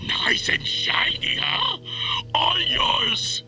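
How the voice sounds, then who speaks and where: An actor voicing an excitable little creature in a video game, speaking in eager, clipped broken phrases. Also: male voice, drawn-out words, faint music behind, full character voice, low-quality recording. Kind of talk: animated video game cutscene acting.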